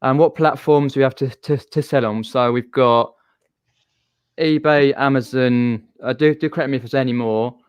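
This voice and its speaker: A man's voice: mid-range voice, steady tone, normal speed, clear, resonant tone, no room sound, dull audio. A man with a southern English accent hosting a casual live-stream chat, rambling and chatty with frequent restarts. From southern England.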